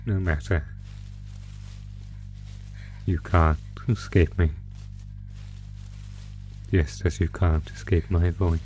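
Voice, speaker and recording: Slow, low-voiced predatory monster acted by a man. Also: darkish tone, slight background noise, poor audio. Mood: menacing, defiant. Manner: theatrical, savoring, taunting, drawn-out phrasing. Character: predatory male monster gleefully hunting human prey, ancient, taunting. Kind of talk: horror audio roleplay.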